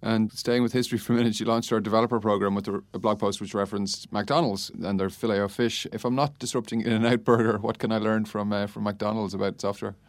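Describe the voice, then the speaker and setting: Podcast host guy, fairly low voice, asks stuff quickly, dry studio-like sound. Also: fairly even intonation, no room sound. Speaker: podcast interviewer. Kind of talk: conversational interview questioning.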